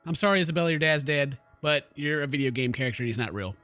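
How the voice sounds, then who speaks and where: Casting: male gaming host, forceful and mocking, casual comedic commentary, dry close mic. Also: fairly high pitch, lively intonation, quick pace, dry recording. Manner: sarcastic, mocking, theatrical. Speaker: let's play host. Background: game music.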